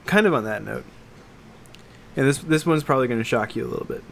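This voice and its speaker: A man with a mid-pitched voice co-hosts a casual podcast. He talks like an excitable fan and swears freely. There is some faint noise and room ambience behind him. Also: brisk, creaky. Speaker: podcast co-host.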